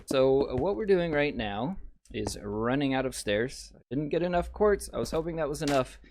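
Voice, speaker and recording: A man with a slightly raspy voice hosting a casual gameplay video, rambling and chatting straight to his viewers. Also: medium pitch, normal speed. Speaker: let's play host. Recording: steady background noise.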